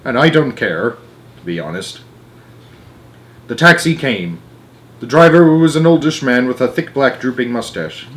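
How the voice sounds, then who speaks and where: A man reads a children's story aloud as an amateur narrator. His voice sits at a middling pitch, and he tells it with animated storytelling delivery. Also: normal speed, long pauses.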